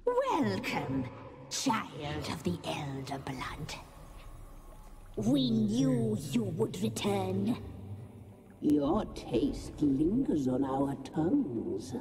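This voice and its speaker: A woman's voice: fairly low pitch, big pitch swings, very slow, noisy background, exaggerated voice. An actress playing an eerie, hungry crone witch in a fantasy game, relishing her words with ominous menace. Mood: gloating, hungry. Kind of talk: dramatic game acting.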